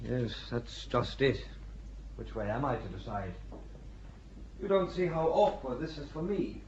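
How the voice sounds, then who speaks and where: An actor playing a blustering squire who swings between bossy orders and exasperated hesitation in a radio drama. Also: male voice, mid-range voice, very animated, measured pace, a little mellow, muffled recording. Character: blustering, self-doubting squire commanding a castle.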